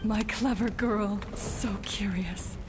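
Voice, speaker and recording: A woman's voice: mid-range voice, fairly even intonation, slow, big-room sound, background noise, lightly acted. An actress playing a brave, loving mother in a video game, solemn and tender, with music behind her. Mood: tender farewell. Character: tender, brave dying mother urging her child to flee.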